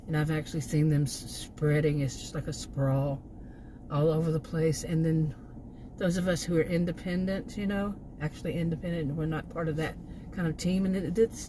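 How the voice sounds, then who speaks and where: Female, American, lowish voice, exasperated off-the-cuff vlog rant, noisy background. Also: steady tone, moderate pace, dark tone. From Texas, United States. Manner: exasperated, chuckles between remarks. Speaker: food delivery gig worker, vlogger. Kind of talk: casual rambling vlog rant.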